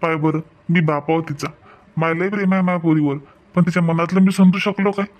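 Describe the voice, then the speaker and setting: Guy doing a reassuring village dad in a cartoon, slightly high voice, varhadi marathi accent, plain homely talk. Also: fairly even intonation, a little fast, natural voice. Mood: reflective. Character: practical, reassuring middle-aged village father of a bride. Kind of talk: homemade cartoon family skit acting.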